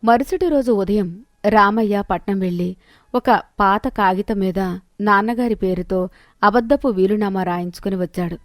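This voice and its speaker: A woman's voice: medium pitch, normal speed, no room sound, clean studio sound. A woman narrating a scripted moral story as a storyteller.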